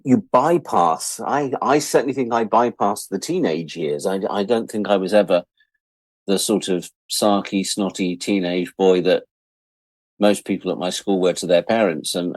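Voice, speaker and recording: A man's voice: sits low, no room sound. A middle-aged English man chatting reflectively as an interview guest, wry and self-deprecating. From England. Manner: wry, self-deprecating, acts out imagined quotes. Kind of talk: reflective casual chat.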